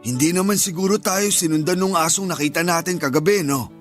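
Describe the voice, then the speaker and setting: A man's voice: sits high, fairly quick, soft background music, lightly acted. A man playing a grumpy, spooked uncle healer. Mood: wary. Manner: scolding, jumpy and fearful. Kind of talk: Tagalog radio drama acting.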